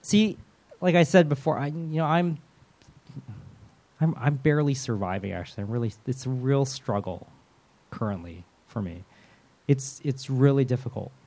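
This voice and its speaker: A man preaching a sermon as pastor, earnest and soft-spoken, with frequent restarts. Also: medium pitch, animated, normal speed.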